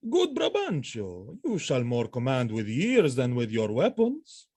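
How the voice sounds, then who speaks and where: A man's voice: medium pitch, huge pitch swings, a little slow, darkish tone. A man playing a proud, commanding general with stately delivery in a Shakespearean audio drama. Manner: stately, declamatory theatrical delivery. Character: proud, self-assured, commanding male general.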